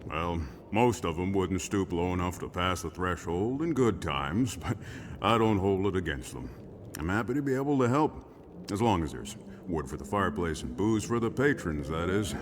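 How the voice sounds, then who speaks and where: A world-weary but genial small-town barman in a game drama. His low voice moves up and down freely in a folksy, wry way, over faint background noise. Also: expressive, normal speed. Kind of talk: cinematic video game drama acting.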